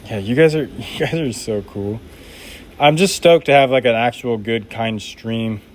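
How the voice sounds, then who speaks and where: A man's voice: mid-range voice, a little slow, slight background noise. A man making knives during a live stream, chatting casually and reading viewer comments aloud in an upbeat, laid-back way.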